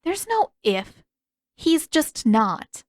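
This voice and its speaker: A woman's voice: sits high, big pitch swings, very slow, no echo, clean studio sound, pitched above the performer's own voice, exaggerated voice. A woman voicing a stern, impatient teenage girl who teaches and is easily flustered, in emphatic audiobook dialogue. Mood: exasperated.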